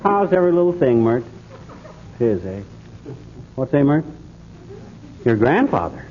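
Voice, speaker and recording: A blustering, scatterbrained husband in middle age in 1940s radio comedy. He talks in a folksy, exclamatory way with highly animated pitch, on a muffled, noisy old recording. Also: a little slow. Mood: bustling. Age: middle-aged.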